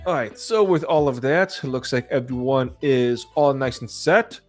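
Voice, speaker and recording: A man's voice: medium pitch, normal speed, soft background music. A man hosting a podcast in casual, conversational chat. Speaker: podcast host.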